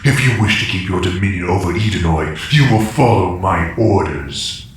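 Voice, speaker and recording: A man's voice: low voice, animated, moderate pace, distant mic. A man playing an imperious, vengeful evil warlord in an audio drama, giving menacing commands. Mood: menacing, commanding. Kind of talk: audio drama villain acting. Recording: echoing, noisy, off the mic.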